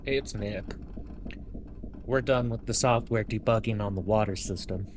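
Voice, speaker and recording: A man playing a steady, practical male ship engineer who speaks in a dry, matter-of-fact way. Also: fairly low pitch, normal speed, background noise, natural voice.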